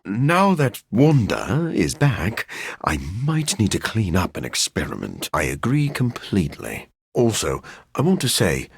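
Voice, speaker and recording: An actor voicing a brooding, sardonic vampire scientist for a video game, dry, erudite and theatrical, with a gravelly, husky voice. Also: male voice, sits low, no echo, full character voice. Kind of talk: video game character voice lines.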